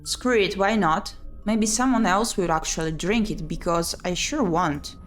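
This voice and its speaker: A woman's voice: on the low side, unhurried. A woman doing let's play commentary, reading visual novel text aloud expressively with muttered reactions. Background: game music.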